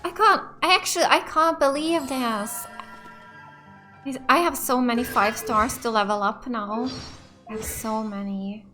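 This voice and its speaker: A woman giving casual, excitable live commentary as a gaming host, often repeating short exclamations. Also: a little high, measured pace. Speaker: gaming let's play host. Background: game music.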